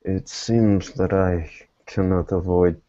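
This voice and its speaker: A low, mellow-voiced, guarded investigator played by a man in a tabletop roleplaying game. He picks his words slowly and formally, weary and deliberate. The recording is dull and dry. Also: steady tone, no room sound. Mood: grave, measured. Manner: slow, halting, deliberate, formal phrasing. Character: weary, guarded male private investigator in secret fellowship.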